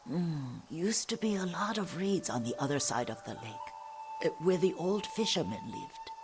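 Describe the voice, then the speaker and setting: A woman acting as a frail, devoted elderly female servant and herbalist in a video game drama, with a breathy aged voice and a gentle, halting, deferential manner. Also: fairly low pitch, huge pitch swings. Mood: wistful, caring. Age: older. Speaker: game character voice actor. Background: music.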